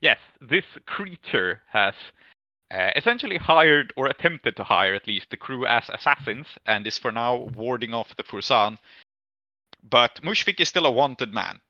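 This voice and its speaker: A man's voice: medium pitch, lively intonation, normal speed, bright, forceful tone, no room sound, dull audio. A man hosting a tabletop roleplaying podcast as game master, recapping the story casually with hesitant, trailing asides.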